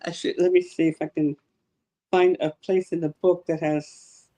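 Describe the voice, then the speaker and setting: A woman's voice: fairly low pitch, flat delivery, normal speed, mellow. A woman author from Cuba answering interview questions, hesitant with many ums and restarts. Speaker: author guest.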